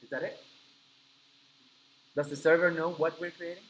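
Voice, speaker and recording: A man's voice: fairly high pitch, level tone, moderate pace, a bit bright, poor audio. A man whose first language is Spanish teaches a live coding class, explaining step by step and checking in with his students. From Argentina. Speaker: coding instructor.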